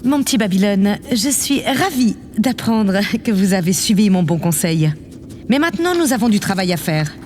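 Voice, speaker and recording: Self-important woman leader for sci-fi audio comedy, female actor: measured, patronising, middle register. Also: mid-range voice, animated, a little mellow, slight background noise, lightly acted. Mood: curt, condescending. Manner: haughty, measured, condescending. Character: vain, condescending woman president of a space colony. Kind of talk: French audio comedy drama.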